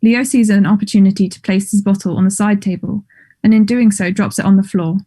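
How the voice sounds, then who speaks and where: Woman, stage directions narrator, soft dark tone, measured, neutral, scripted. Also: medium pitch, fairly even intonation.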